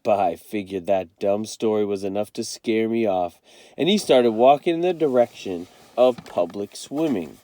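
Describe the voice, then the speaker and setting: A man reading a first-person children's novel aloud as an expressive storyteller. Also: on the low side, normal speed.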